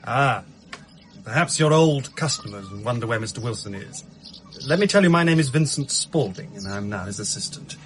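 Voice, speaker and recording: Male actor playing a smooth-talking pawnbroker's assistant, medium pitch, sly, oily courtesy, radio drama, faint background noise. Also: expressive, normal speed, natural voice. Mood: politely probing. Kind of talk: British radio drama acting.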